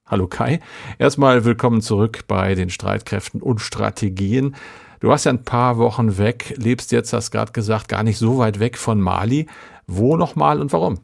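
A man's voice: on the low side, normal speed, vocal fry. A man hosting a podcast, reading scripted moderation. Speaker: podcast host.

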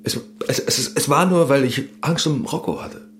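A man's voice: medium pitch, normal speed, fairly bright tone, close to an everyday voice. A man acting a stressed, hot-tempered husband in a German radio drama, strained and defensive as he talks. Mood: strained, apologetic.

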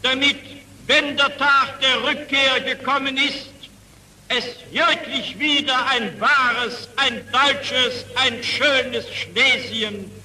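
A man declaims a rousing rally speech slowly and emphatically, his voice extremely high and piercing. It sounds like an archive recording, far from the microphone in a reverberant, faintly noisy hall. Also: steady tone, pushed and bright.

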